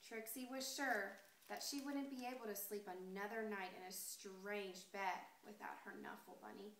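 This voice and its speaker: A woman teacher reading a picture book aloud to young children in an expressive, deliberate storytelling way, pausing to address the class. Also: medium pitch. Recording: echoey room with noticeable background noise.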